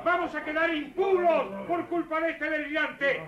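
An actor playing a self-righteous, pompous religious judge in a radio drama, outraged and imperious. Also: male voice, very high voice, fairly even intonation, moderate pace, muffled recording, a crowd in the background. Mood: scandalized outrage.

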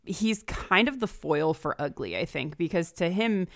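Low-voiced woman in casual discussion. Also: expressive, normal speed, dry recording, clean studio sound. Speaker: guest co-host.

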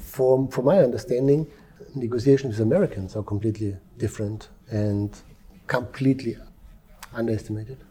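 A man from Europe, a former police negotiator, talking calmly and with measured pauses in an interview. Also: mid-range voice, breathy edge. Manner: calm, measured, with frequent restarts. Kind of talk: interview conversation. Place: Dubai.

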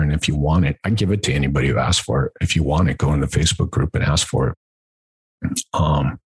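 A man's voice: rumbling low, breathy edge, no room sound. A man hosting an instructional podcast in a conversational way, stressing and repeating key points like a coach. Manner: emphatic, repeats key points, asks rhetorical check-in questions. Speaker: podcast host and business coach.

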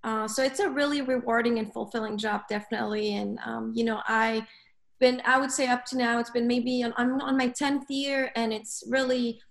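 Earnest woman from Lebanon, slightly high voice. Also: fairly even intonation, moderate pace, gravelly. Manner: earnest, thoughtful, many fillers. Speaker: guest, clinical coordinator. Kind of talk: casual podcast interview.

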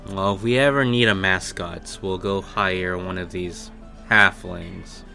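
Man playing a blunt, slow-spoken giant tundra warrior. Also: deep voice, fairly even intonation, creaky, bright, forceful tone, soft background music, full character voice. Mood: suspicious. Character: huge Goliath man from the tundra, blunt, wary.

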